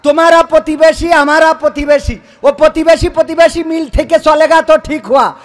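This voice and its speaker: Very high-pitched scolding comic, a man. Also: steady tone, moderate pace. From Bangladesh. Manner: scolding, indignant, colloquial. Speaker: comedian. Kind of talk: comic skit with mock phone call.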